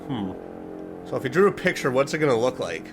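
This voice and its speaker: A man's voice: mid-range voice, sing-song, a little fast, over music. A man giving casual reaction commentary, reading aloud and reacting expressively, drawing out words for emphasis. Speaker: reaction video host.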